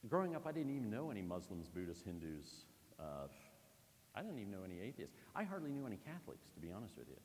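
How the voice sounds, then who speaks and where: A man from Pennsylvania preaching a conversational sermon in a church, with lively asides to the congregation. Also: fairly low pitch, quick pace, in a large hall, a little hiss or hum. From Pennsylvania, USA. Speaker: pastor.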